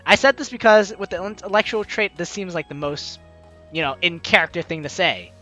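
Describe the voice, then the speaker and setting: A man doing a casual let's-play, reading story text aloud with chatty, expressive asides. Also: sits high, a little fast, highs cut off. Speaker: game streamer. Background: game music.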